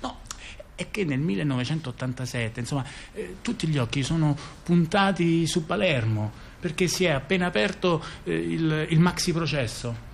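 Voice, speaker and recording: A man's voice: a little high, lively intonation, creaky, slight background noise. A man telling a story in a theatrical, improvised-sounding way, stumbling into repeats and restarts and often adding short tag questions. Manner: halting, repetitive, stammered restarts and frequent tag questions. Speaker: monologue performer in a two-person radio theatre dialogue.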